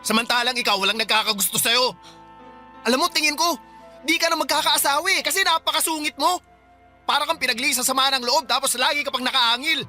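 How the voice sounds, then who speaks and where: Man playing a sharp, fast-talking rebellious younger brother. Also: extremely high pitch, faint music behind, exaggerated voice. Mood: taunting. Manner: argumentative, defiant. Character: rebellious, resentful young jobless brother lashing out. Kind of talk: radio drama dialogue in Tagalog love story.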